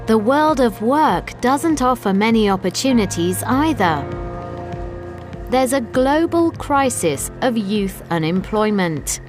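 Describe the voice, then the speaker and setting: A woman reads scripted documentary narration for learners in a measured, clearly enunciated way. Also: a little high. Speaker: narrator of an educational video report. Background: background music.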